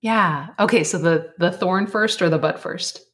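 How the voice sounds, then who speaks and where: Low-voiced earnest woman author, slightly hoarse. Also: unhurried, a bit bright. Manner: thoughtful, earnest, reflective. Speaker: author and life coach guest. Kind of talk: casual interview chat.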